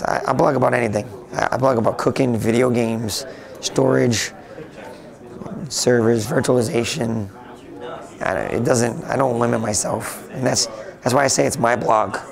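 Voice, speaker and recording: A raspy, gravelly-voiced man chats loosely in an interview, doubling back and restarting his sentences as he goes. He sounds a bit hoarse from a long day of talking. Busy crowd chatter hums behind him on a convention floor. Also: mid-range voice. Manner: rambling with frequent restarts. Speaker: interviewee, tech blogger. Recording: noisy crowded convention hall.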